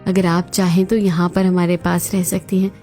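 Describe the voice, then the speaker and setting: A woman's voice: fairly low pitch, little pitch movement, moderate pace, dark tone, soft background music, close to an everyday voice. A woman voicing a kind young Indian daughter-in-law, polite and earnest, in a cartoon family drama. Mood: kind, reassuring.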